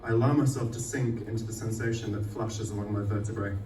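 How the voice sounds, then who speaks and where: A man's voice: fairly low pitch, steady tone, fast talker. Man performing poetry, echoey and distant. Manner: sweary, self-deprecating. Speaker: poet performing own work. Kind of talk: live poetry reading with casual banter. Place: indoor arts night venue, live event. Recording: echoey live venue, distant mic, faint noise.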